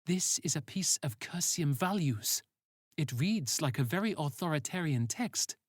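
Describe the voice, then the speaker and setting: A narrator voicing a stern, authoritative woman who leads a sci-fi team, brisk and blunt. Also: mid-range voice, lively intonation, husky, no room sound, studio quality. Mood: dry, explaining.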